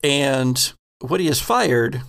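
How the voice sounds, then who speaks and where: American man, game master, heavy vocal fry, unhurried, suspenseful horror narration, deliberate storytelling. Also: mid-range voice, lively intonation, fairly bright tone.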